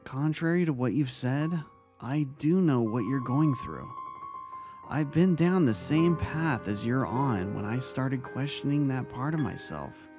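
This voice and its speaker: Casting: male actor for a kind vice-principal in a fan fiction audio drama, earnest and reassuring, medium pitch, soft music behind. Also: lively intonation, unhurried. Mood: confiding, solemn.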